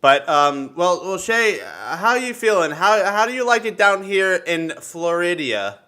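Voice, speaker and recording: A man hosting a casual, excitable podcast chat, informal and sweary in his talk. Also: high-pitched, unhurried, smooth. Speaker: podcast host.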